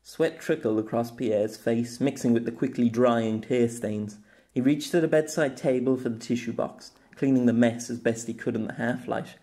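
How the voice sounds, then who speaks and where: A man narrating his own story as an audiobook, in a steady, measured voice. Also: mid-range voice. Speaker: author and narrator. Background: faint music.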